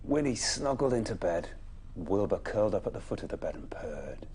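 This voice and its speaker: A man reading a children's bedtime story expressively, with dramatic pauses and emphatic phrasing. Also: on the low side, sing-song, a little mellow, a little hiss or hum.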